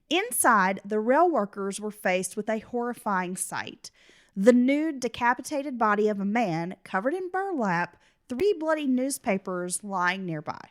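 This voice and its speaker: Woman podcast co-host, stuffy with a cold, casual true crime narrator. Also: mid-range voice. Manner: reads case details, chats between.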